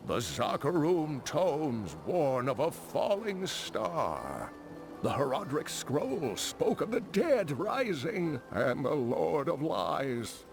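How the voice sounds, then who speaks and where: An actor playing an elderly, earnest scholar in a fantasy game, creaky-voiced and deliberate, like a solemn storyteller. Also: male voice, on the high side, a little hiss or hum, exaggerated voice. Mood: insistent. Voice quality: creaky, aged. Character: elderly male scholar, kindly, earnest, prophecy-obsessed. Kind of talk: theatrical fantasy game dialogue.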